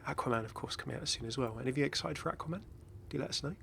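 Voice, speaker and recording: Man, gaming video host, bright forceful tone, creaky edges, casual rambling commentary, enthusiastic asides. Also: mid-range voice, racing, a little hiss or hum. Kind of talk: casual off-the-cuff game commentary.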